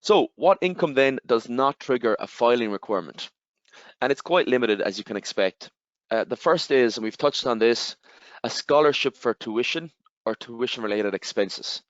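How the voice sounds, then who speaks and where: A man with an Irish accent, giving a webinar presentation and explaining step by step, with steady fillers and repeated "again". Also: mid-range voice, moderate pace, no room sound. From Ireland. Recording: slightly dull, dry webinar audio.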